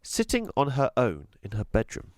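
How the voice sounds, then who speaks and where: A man reading a children's storybook aloud as a teacher would, with expressive, deliberate, emphatic delivery. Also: mid-range voice, no room sound, studio quality. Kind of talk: scripted storybook narration.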